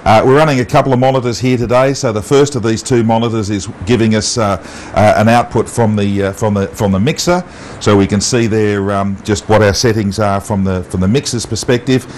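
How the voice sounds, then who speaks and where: Australian man, slightly low pitch, amateur presenter, frequent hesitations, unscripted, light background noise. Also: steady tone, darkish tone. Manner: halting, with long um and uh pauses. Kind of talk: unscripted walk-through of a station setup. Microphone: wireless mic pack. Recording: noisy amateur TV transmission audio.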